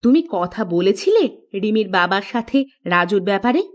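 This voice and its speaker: A woman's voice: mid-range voice, animated, normal speed, lightly acted. A woman voicing a middle-aged village mother in a cartoon, fretful but practical and plain-spoken. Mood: questioning. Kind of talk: scripted Bengali cartoon dialogue.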